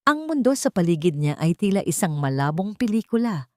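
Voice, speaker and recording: A woman with a Filipino accent narrating a dramatic story in a solemn, deliberate manner. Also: medium pitch, very animated, dark tone. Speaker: audiobook narrator.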